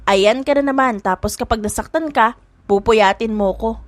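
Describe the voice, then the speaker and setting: A woman's voice: fairly high pitch, moderate pace, no echo, lightly acted. A woman voicing a cocky, boyish young woman with a blunt, teasing manner in an audiobook drama. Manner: brash, blunt.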